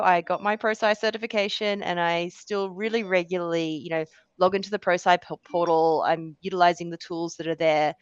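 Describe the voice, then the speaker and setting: A lowish-voiced Australian woman in her forties talks through a webinar in a chatty, self-deprecating way, with lots of "um" and "you know". The sound is dull and lo-fi, with a dry, close feel. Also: clear, resonant tone, dry recording. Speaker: guest speaker. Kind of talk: webinar presentation.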